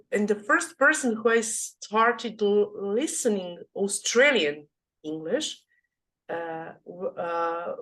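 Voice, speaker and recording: A woman from Serbia hosting a podcast in her second language, with a Serbian accent, halting with many restarts and repeated words. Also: medium pitch, a bit bright, rough recording. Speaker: podcast host. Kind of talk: casual interview chat.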